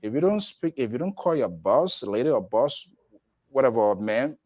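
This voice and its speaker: A man's voice: mid-range voice, normal speed. A man hosting a live panel talk show, rambling with frequent restarts. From Liberia. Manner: rambling, animated delivery with restarts.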